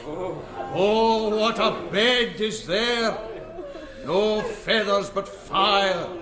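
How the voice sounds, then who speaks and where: An actor playing a zealous Scottish Presbyterian preacher, declaiming a thundering hellfire sermon in drawn-out rhetoric for a radio drama. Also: male voice, extremely high pitch. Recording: echoey church with congregation noise.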